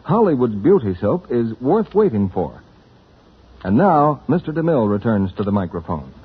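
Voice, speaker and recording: A man's voice: mid-range voice, big pitch swings, normal speed, slightly rough. A man announcing on 1940s radio, reading scripted introductions and advertising copy. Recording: muffled 1940s radio broadcast.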